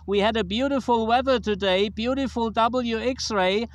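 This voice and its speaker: A man from Germany with a German accent, chatting casually as a ham radio operator and deliberately repeating phrases. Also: very high-pitched, a little hiss or hum. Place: outdoors on a grassy riverbank. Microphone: handheld tablet recording.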